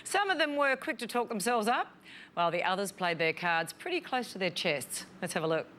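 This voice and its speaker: A woman's voice: mid-range voice, very animated, brisk, fairly bright tone, a little hiss or hum. An Australian woman co-hosting a game show in a breezy, chatty way.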